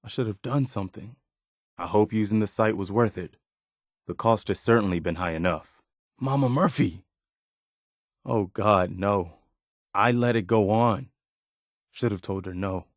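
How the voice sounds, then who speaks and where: A dutiful militia officer in a video game. His voice is on the low side and recorded dry and close. He speaks plainly and earnestly, like a loyal idealist who believes in the cause. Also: no echo. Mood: regretful, grieving. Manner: earnest, measured, plain-spoken. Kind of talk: video game character dialogue.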